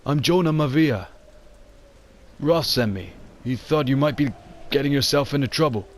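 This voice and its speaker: A man's voice: mid-range voice, normal speed, a little hiss or hum, close to an everyday voice. A man voicing an easygoing, protective ship's cook with a casual, friendly manner in an amateur motion comic.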